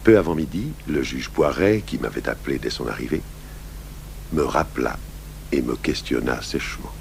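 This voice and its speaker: An actor playing a calm, shrewd middle-aged police commissioner in a radio crime drama, speaking in a measured, deliberate, probing way. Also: male voice, extremely low pitch, heavily acted. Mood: reflective, grave. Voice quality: gravelly. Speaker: lead detective and first-person narrator. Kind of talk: 1980s French radio crime drama acting. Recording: old radio broadcast recording, faint hum and hiss.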